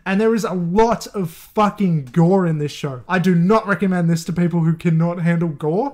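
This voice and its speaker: A man hosting his channel, giving casual movie recommendations, candid and swearing freely, laughing often between thoughts. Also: sits high. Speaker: channel host.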